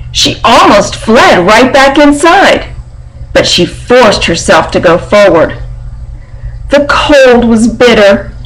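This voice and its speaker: A woman narrates a children's storybook aloud. Her voice sits at a medium pitch, and she moves slowly through the sentences with measured expression. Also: poor audio.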